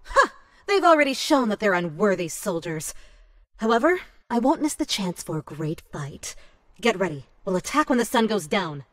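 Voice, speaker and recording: Game heroine: a proud young lady warrior, mid-pitched, resolute, commanding and fierce. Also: very animated, normal speed, a bit bright, no echo. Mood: eager, stern. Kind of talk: medieval fantasy game cutscene acting.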